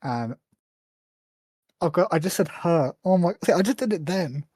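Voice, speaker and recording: A man's voice: on the high side, lively intonation, moderate pace, dark tone, breathy edge, dry recording. A man co-hosting a podcast in casual chat, hesitant, with many ums and restarts. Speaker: podcast co-host.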